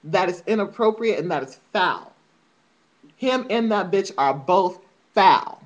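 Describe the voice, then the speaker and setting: A woman's voice: on the low side, lively intonation, measured pace, poor audio. A woman ranting in a casual vlog, sassy and emphatic, calling her viewers honey. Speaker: vlogger.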